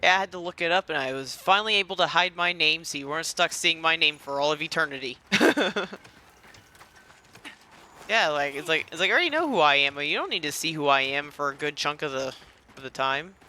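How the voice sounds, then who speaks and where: A man's voice: fairly high pitch, lively intonation, fairly quick, sharp tone, a little hiss or hum. A man giving casual let's play commentary in a rambling, self-interrupting way, with game music behind him. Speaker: gaming video host. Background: video game music and dialogue.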